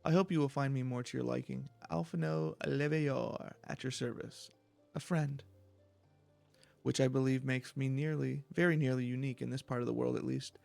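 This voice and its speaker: A man plays a haughty young nobleman in a fantasy video game, pompous and eloquent. The pitch is mid-range with some creak, and faint music plays behind the smooth-talking aristocrat. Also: fairly even intonation, normal speed, a little mellow. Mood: courteous, self-assured. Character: pompous young rich nobleman, smug and silver-tongued. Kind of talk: reading video game dialogue in character.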